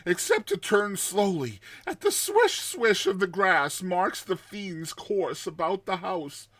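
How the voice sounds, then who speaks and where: A man voicing a terrified man telling his nightmare in a dramatized story, with tense pauses, hushed passages and explosive outbursts. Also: high voice, dry recording, pitched above the performer's own voice, heavily acted. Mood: panicked terror. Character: terrified man recounting his nightmare of a lurking giant serpent.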